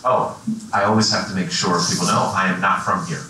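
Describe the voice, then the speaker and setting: A low-pitched man speaks with an emphatic, self-mocking manner at a conference panel. His bright tone rings through a large echoey hall, picked up from a distance. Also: fairly even intonation, brisk, slight background noise. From US East Coast. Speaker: nonprofit executive director. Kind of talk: panel introduction.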